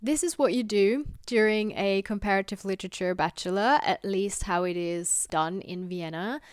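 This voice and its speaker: A woman's voice: medium pitch, normal speed, over music. A young woman in her twenties from Austria, talking casually and earnestly about her university studies, with frequent "like" fillers. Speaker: literature student vlogger. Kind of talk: casual explanatory vlog.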